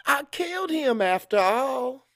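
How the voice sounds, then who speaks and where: A man's voice: very high voice, very animated, unhurried, fairly bright tone, no echo, clean studio sound. A man narrating first-person dramatic fiction in a brooding, confessional manner. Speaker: audiobook narrator.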